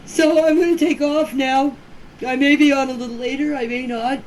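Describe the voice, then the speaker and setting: A woman's voice: high voice, fairly even intonation, normal speed, a little mellow, a little hiss or hum, poor audio. A woman in her fifties giving informal personal voice messages in a plainspoken, earnest, emphatic way, repeating herself for stress. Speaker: community member speaking about a case. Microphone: phone voice message.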